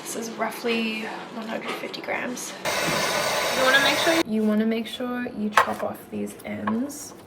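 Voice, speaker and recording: A young woman talking casually through her cooking with a slightly hoarse, croaky voice, full of "like" fillers. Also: a little high, steady tone, a little slow, heavy background noise. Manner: chatty, lots of "like" fillers. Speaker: vlogger.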